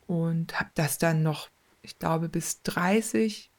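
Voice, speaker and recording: A woman, a former rugby player and mental coach, chatting casually in an interview, talkative and relaxed with plenty of fillers and self-corrections. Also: deep voice, takes it slowly.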